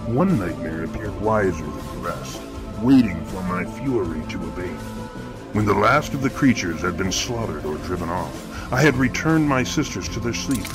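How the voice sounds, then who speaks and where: A grim, elegant male killer narrates in a very deep voice. His delivery is measured, florid and theatrical, horror audio fiction with prominent music underneath. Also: animated. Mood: grim relish.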